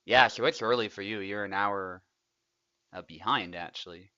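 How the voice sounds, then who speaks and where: A man's voice: on the low side, moderate pace, dry recording, lo-fi sound. A young man, casually commentating a tournament he is also playing in, chatty and laughing often. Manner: relaxed, chatty, laughs often, trails off quietly.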